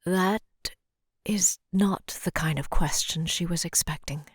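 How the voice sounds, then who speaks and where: A woman reading scripted audio drama narration in a deliberate, somber storytelling manner. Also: fairly low pitch, slightly rough, no room sound, clean studio sound.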